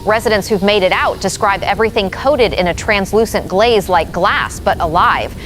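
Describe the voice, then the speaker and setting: A mid-pitched female voice of an actress plays an uneasy field news reporter. She reports seriously and descriptively, with music clearly playing behind her. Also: normal speed, lightly acted.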